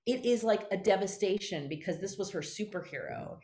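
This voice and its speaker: A woman talking as an interview guest, effusive and emphatic, digressing as she goes in an animated conversational style. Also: mid-range voice, a little fast.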